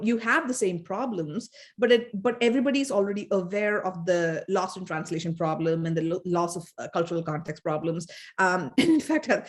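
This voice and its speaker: A woman's voice: mid-range voice, darkish tone. A woman from India, co-hosting a casual academic discussion, thoughtful and hesitant with many fillers. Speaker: podcast co-host, fan scholar.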